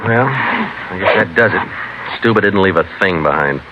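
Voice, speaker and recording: An American actor playing a terse, businesslike police sergeant in a 1950s radio crime drama, clipped and matter-of-fact. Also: male voice, on the low side, animated, moderate pace, natural voice. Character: terse, businesslike male police sergeant narrating his case. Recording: muffled vintage radio recording, music behind.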